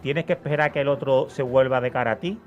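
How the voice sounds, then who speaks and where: A man's voice: medium pitch, fast. A man chats casually on a radio panel, questioning and thinking aloud in a tentative way. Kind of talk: casual studio discussion. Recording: dull lo-fi radio audio with music.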